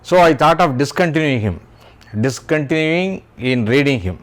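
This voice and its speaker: A man with an Indian accent, hosting his own channel and talking about literature in a slow, careful way, sometimes spelling words out letter by letter. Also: on the high side, expressive. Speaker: YouTube host, writer.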